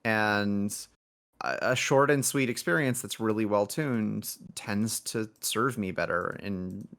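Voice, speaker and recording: A man with a fairly low voice talks casually as a guest developer on a podcast. His delivery is halting and self-deprecating. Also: fairly bright tone. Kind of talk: casual interview chat.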